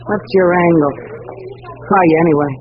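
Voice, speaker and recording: A woman's voice: fairly low pitch, brisk, natural voice. A woman playing a tough, slangy fortune teller with a curt, wisecracking manner, in the style of old radio detective drama. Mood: wary. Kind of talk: 1940s-50s radio detective drama acting. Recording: muffled, narrow, hissy old radio recording.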